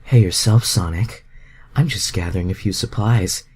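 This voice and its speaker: A man's voice: low voice, normal speed, a little mellow, breathy edge. A man voicing a friendly, flirty woman bounty hunter from fan fiction, in a casual, coy manner.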